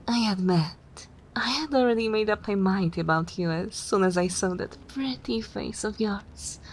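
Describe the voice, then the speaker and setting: A woman's voice: mid-range voice, slight background noise. A woman playing a haughty, seductive vampire mistress in an ASMR roleplay, teasing and self-assured in a theatrical way. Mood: seductive, pleased.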